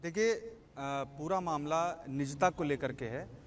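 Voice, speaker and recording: A male senior correspondent reports live in a somewhat high voice. He explains deliberately, restating key phrases, with faint vehicle-like noise around him.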